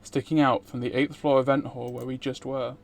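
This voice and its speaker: A man's voice: mid-range voice, level tone, fairly quick, vocal fry. A man streaming a game, reading a visual novel aloud.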